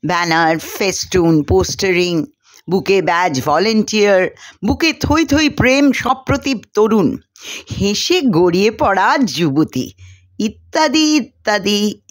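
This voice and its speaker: Slow, expressive woman storyteller from India. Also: mid-range voice. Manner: expressive, deliberate storytelling with acted-out dialogue. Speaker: story reader. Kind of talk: scripted narration of a short story, dramatized dialogue.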